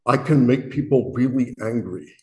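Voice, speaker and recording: A man from Illinois with a booming voice speaks to a worship meeting. His pitch sits in the middle for a man, and he talks earnestly, stumbling and restarting as he works through his thoughts, with a self-deprecating edge. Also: normal speed, warm tone. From Illinois, USA. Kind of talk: reflective spoken message.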